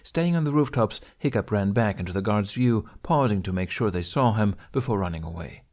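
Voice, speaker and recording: A man's voice: on the low side, expressive, fairly quick, a little mellow. A man reading scripted narration of an adventure story as a podfic narrator.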